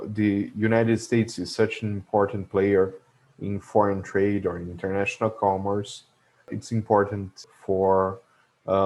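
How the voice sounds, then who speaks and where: A man with a Brazilian Portuguese accent talks through a lesson at an unhurried, halting pace. His voice sits fairly low, and he pauses often to search for words. He chuckles now and then at his own remarks. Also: darkish tone. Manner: hesitant, many filler sounds and restarts, chuckles to himself. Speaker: logistics educator. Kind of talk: explanatory video lesson.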